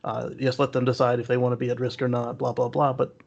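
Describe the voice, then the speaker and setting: A man's voice: medium pitch, completely flat delivery, quick pace, vocal fry, darkish tone, muffled recording. A man co-hosting a podcast in casual, opinionated discussion, often repeating words as he feels out a point. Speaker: podcast co-host.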